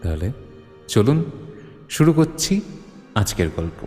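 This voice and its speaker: Animated male horror narrator in reverb. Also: lowish voice, measured pace. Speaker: story host and narrator. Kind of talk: dramatic scripted horror audiobook narration. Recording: long reverb, music underneath. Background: music.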